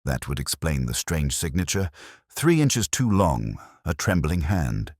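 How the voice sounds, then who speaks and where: Man as Victorian detective's companion, storybook reading, earnest, thoughtful, very low, dry close sound. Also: big pitch swings, unhurried, a little mellow, dry recording, full character voice.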